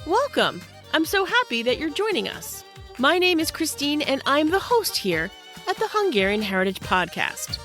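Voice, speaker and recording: A woman's voice: a little high, a bit bright. A woman hosting a podcast, greeting listeners and introducing her guests in an upbeat, welcoming way. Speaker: podcast host. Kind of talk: scripted podcast intro and interview.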